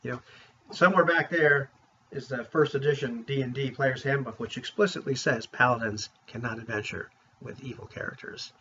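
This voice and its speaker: A man talking casually to viewers as a tabletop gaming vlogger, in an informal, rambling, opinionated way, with a husky voice. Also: medium pitch, normal speed, sharp tone, a little hiss or hum. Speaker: vlogger on tabletop roleplaying games. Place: room at home. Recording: dull, lo-fi sound.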